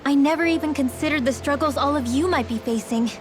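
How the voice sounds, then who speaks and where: A woman's voice: sits high, fast talker, background noise, close to an everyday voice. A woman playing an earnest young girl in a fantasy video game, with a hesitant, confessional manner. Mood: remorseful, hesitant.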